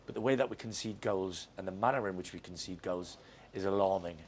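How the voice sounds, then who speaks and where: A man's voice: low-pitched, fairly quick, slight background noise. A man who manages a football club, talking frankly and reflectively in a post-match interview, often repeating words as he thinks. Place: football stadium.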